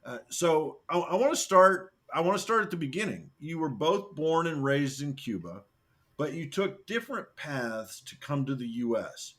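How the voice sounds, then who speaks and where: An enthusiastic man hosts an interview podcast, his voice rising and falling in a lively, animated way. He opens with a scripted introduction and then moves into relaxed questions for his guests. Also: fairly high pitch, normal speed. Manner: upbeat, enthusiastic, lively delivery. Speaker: podcast host. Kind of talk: hosted interview.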